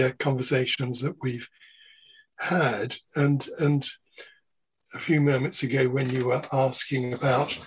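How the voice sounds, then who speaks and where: A man with a very raspy voice who speaks slowly. As a co-host, he puts his questions with care, with many pauses and fillers while he finds his words. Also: mid-range voice, low-quality recording. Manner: hesitant, searching for words. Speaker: podcast co-host. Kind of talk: interview questioning.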